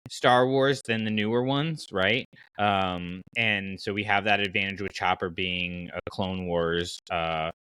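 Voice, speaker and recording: Male podcast host, bright and punchy, very dry sound, casually arguing his case in everyday slang. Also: sits low, fairly even intonation, unhurried, smooth, no echo, low-quality recording. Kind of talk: casual fan podcast discussion.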